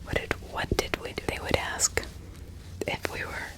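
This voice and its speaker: A woman speaking in a breathy, hushed whisper as an ASMR host, reading and role-playing in an informal, conversational way. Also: moderate pace. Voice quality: breathy unvoiced whisper with some crackle.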